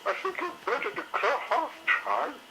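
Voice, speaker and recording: A man voicing a fussy, grumbling female dinosaur in a high falsetto. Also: wildly swooping pitch, a little slow, exaggerated voice. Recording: noisy recording.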